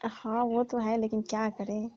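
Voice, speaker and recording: A woman talks in a fairly high, even voice with little melodic movement. She chats and explains casually, as in a comic phone call. The sound is a slightly dull, lo-fi call recording. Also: moderate pace, dry recording. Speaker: caller playing a farm owner.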